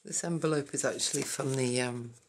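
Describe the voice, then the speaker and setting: A hoarse woman hosting a papercraft channel, quietly naming each item in a casual journal flip-through with a croaky voice. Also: extremely low pitch, a little hiss or hum. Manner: quiet, halting, naming items one by one.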